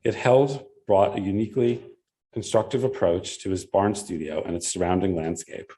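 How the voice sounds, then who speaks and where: A man with a very low, mellow voice reads out a prepared paper on art and architecture. In the answers that follow he speaks more loosely, with fillers and self-corrections. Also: steady tone, poor audio. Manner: measured, with frequent fillers and restarts in answers. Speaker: symposium presenter. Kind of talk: academic paper presentation and Q&A.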